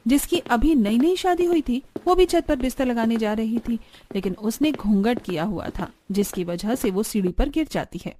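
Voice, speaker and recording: A woman's voice: on the high side, a little mellow, a little hiss or hum. A woman reading scripted narration for a cartoon story.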